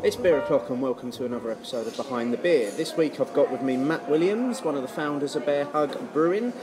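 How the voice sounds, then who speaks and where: A man hosting a podcast and putting questions informally to a guest. His pitch is average, and music is clearly audible behind him. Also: expressive, fast. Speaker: podcast host, interviewer.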